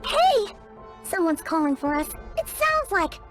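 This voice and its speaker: Squeaky, excitable little fairy companion. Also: female voice, unhurried, music in the background, full character voice. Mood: puzzled. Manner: squeaky, exclamatory, speaks of herself in third person. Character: tiny excitable childlike fairy companion, indignant and naive. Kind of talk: video game voice acting.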